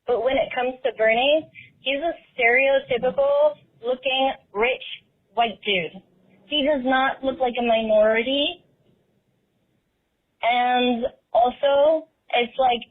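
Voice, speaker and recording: A woman's voice: sits high, slow pace. A female caller leaving a casual, opinionated voicemail for a talk show. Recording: phone-line voicemail.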